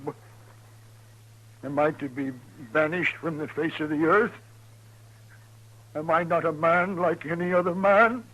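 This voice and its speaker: An actor playing a lonely old traveling medicine peddler with flowery, formal, pleading speech in a 1950s radio western. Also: male voice, on the high side, steady tone, measured pace, pauses often, fairly bright tone. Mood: wounded, pleading. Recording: noisy, humming old radio recording.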